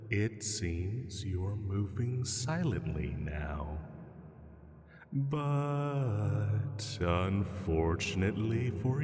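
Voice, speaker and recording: Casting: male actor as ancient predatory dragon, low-voiced, sly and condescending, heard in long cavernous reverb. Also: a little mellow, exaggerated voice. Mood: predatory disdain. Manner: slow, condescending, theatrical, drawn-out taunting. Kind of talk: scripted roleplay audio drama monologue.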